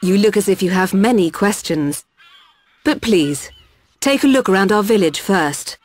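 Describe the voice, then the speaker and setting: A woman voicing a calm machine-people doctor in a video game, speaking in formal, measured, precise phrases. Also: mid-range voice. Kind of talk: video game cutscene acting.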